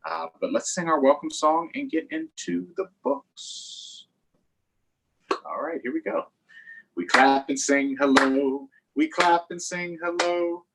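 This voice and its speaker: A man's voice: a little high, sing-song, slow, creaky, a bit bright, slight background noise, low-quality recording. A man hosting a children's story time, reading aloud in a playful, sing-song way with chatty asides to viewers.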